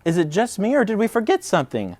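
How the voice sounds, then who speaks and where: A man playing a bossy, high-pitched little woodland animal. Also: huge pitch swings, fast, a little mellow, heavily acted. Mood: worried. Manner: insistent, emphatic. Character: bossy, fussy animal friend, rabbit or squirrel, fretting.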